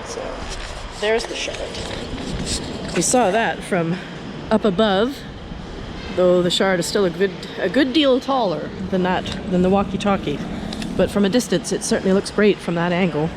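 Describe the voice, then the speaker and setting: A woman hosts a casual walking tour, talking off the cuff into a handheld camera. Her voice sits at a medium pitch, and she rambles chattily with wry little asides to herself. Loud city ambience and traffic noise run heavily behind her. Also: expressive, measured pace. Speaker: host and tour guide of a city walk video. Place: out and about at a London skyscraper and its streets. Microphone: handheld camera on a stick.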